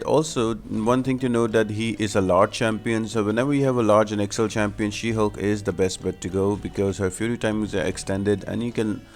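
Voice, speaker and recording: Guy with a lowish voice doing a game walkthrough, explains stuff step by step, music playing behind him. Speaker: video game guide host. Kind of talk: gameplay walkthrough commentary. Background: background music.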